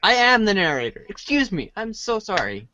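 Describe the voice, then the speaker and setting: A man's voice: high voice, big pitch swings, moderate pace, background noise. A man in casual banter as one of a reading cast. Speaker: cast member.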